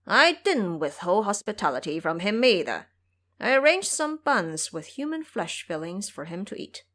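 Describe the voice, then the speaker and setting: A woman's voice: medium pitch, big pitch swings, normal speed, fairly bright tone. A woman voicing a menacing old male monster king in an audio drama, taunting and commanding.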